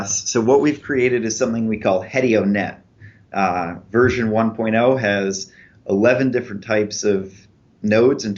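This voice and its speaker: A man being interviewed as a researcher, explaining his work clearly and methodically with examples. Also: lowish voice. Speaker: researcher guest. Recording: dull lo-fi podcast audio.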